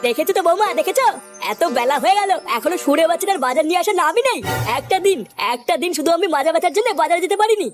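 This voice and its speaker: A grumbling old village father from a comedy cartoon. The voice is extremely high for the part and races along at great speed, sarcastic and full of complaint, with faint music underneath. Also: lively intonation, very fast. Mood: irritated. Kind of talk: comic cartoon dialogue.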